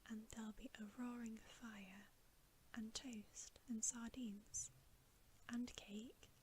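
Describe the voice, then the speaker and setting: A woman's voice: a little high, level tone, slow, darkish tone, a little hiss or hum. A woman voicing a polite, flustered, slightly melancholy male fawn from a storybook, whispered with formal, hesitant courtesy. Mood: inviting. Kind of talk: whispered ASMR storybook reading.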